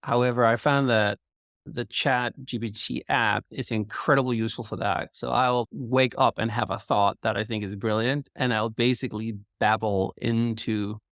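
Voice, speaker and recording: A man who speaks English co-hosts a podcast interview. His voice sits at a middle pitch. He talks in a reflective, loosely phrased way with many fillers, and the recording is dry and close. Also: steady tone, normal speed, a bit bright, no room sound. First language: Danish. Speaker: podcast co-host. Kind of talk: conversational interview.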